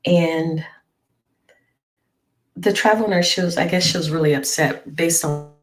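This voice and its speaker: A hoarse woman, a travel nurse, telling a story in a rambling vlog, with many ums and restarts. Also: lowish voice, unhurried. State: hoarse from a lingering flu cough. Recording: echoey room.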